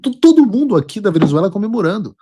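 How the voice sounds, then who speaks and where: A Brazilian-accented man hosts his own commentary show, his voice a touch high and husky. He talks bluntly and emphatically, mocking his opponents and swearing casually as he lays out his political views. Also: sing-song, fast talker, warm tone. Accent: Brazilian Portuguese. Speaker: show host.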